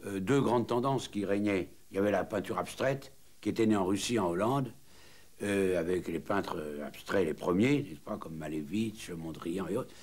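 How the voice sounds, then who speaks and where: Low, husky mature man explaining. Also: moderate pace, a little mellow. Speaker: painter's son interviewed in a documentary. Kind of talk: explanatory interview talk.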